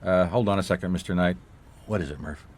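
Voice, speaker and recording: An actor playing a laconic, skeptical private detective in a radio drama, with a dry, understated, hesitant way of talking. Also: male voice, sits low, quick pace. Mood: businesslike, persistent. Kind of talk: radio drama dialogue and first-person narration.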